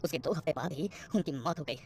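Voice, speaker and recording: A superstitious male morgue attendant, played by a woman, grave and foreboding. The voice is low, with a faint noise behind it, as in a horror cartoon. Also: fast talker, vocal fry, darkish tone. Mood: grave, ominous. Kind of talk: animated horror story dialogue.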